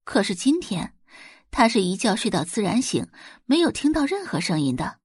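A woman's voice: medium pitch, very animated, normal speed, a little mellow, husky, no room sound, clean studio sound. A woman reading scripted novel narration in a dramatic storytelling style. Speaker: audiobook narrator.

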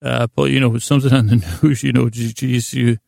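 A middle-aged American man hosting a sleep podcast, rambling in a gravelly voice with halting, self-deprecating detours that trail off and circle back. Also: mid-range voice, flat delivery, a little mellow, dry recording, clean studio sound. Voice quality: gravelly, creaky. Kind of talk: rambling, meandering bedtime monologue.

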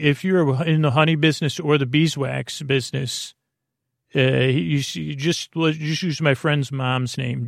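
A man hosting a bedtime podcast in a creaky voice, rambling in a soothing, halting, meandering way with casual friendly banter. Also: fairly high pitch, no echo, studio quality. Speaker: podcast host.

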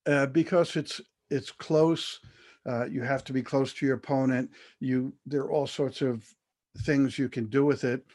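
An older man telling his life story as an interview guest, measured and thoughtful, with frequent fillers and restarts. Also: mid-range voice, steady tone, a little mellow.

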